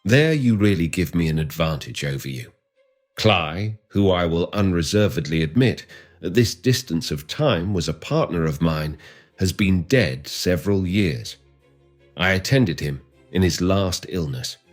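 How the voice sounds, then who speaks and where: A male narrator plays a sly middle-aged spy who speaks smoothly and ingratiatingly. The voice sits very low yet moves lively up and down, with faint music behind. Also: a little slow. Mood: smooth, reasonable. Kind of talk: audiobook character reading.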